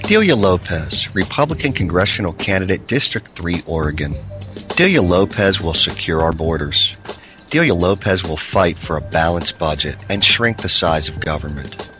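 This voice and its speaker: A man reading scripted radio commercials in a punchy, emphatic voice-over. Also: deep voice. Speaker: ad announcer. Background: loud music.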